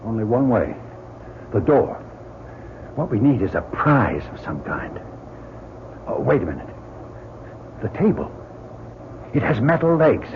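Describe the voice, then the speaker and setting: Through a dull, hissy old recording comes a decisive astronaut leader. His mid-pitched voice jumps widely as he snaps out short, practical orders in radio-drama style. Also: very animated, measured pace, pauses often. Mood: determined. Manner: clipped orders.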